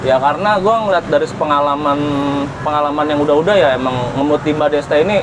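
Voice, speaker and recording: A man with a Jakarta Indonesian accent and a slightly raised pitch speaks casually in slang. He is emphatic as he recommends things to viewers as a vlog guest. Traffic-like outdoor noise is clearly audible behind him. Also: fast talker. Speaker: vlogger guest recommending a service.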